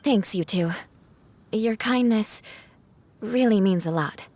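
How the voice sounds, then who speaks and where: An actress playing a polite, earnest young female knight in a video game, measured and formal. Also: medium pitch, a little mellow, a little hiss or hum. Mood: modest, grateful. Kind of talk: video game character voice acting. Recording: music behind the voice. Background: game music.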